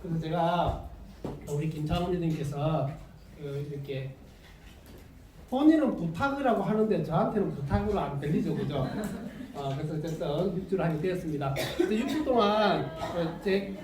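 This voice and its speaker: A man's voice: a little high, animated, moderate pace, crowd noise. A man with a Gyeongsang Korean accent giving an unscripted health lecture to a live class. He chats freely, checks whether the class is following and laughs often. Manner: chatty, asks the class for agreement, laughs often. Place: lecture room with a live audience. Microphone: no working mic, heard from across the room. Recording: very echoey room, distant from mic.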